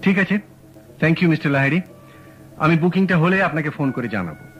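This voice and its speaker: An actor playing a calm, shrewd male detective in a radio drama, talking in a measured, dry, matter-of-fact way. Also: fairly high pitch, lo-fi sound, over loud music, lightly acted. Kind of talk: 1970s radio drama acting.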